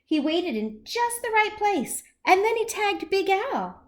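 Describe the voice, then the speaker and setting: A woman reading a children's story aloud as a teacher would, expressively and with deliberate phrasing for young listeners. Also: very high-pitched. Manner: animated, slow, deliberate, with questions to young listeners.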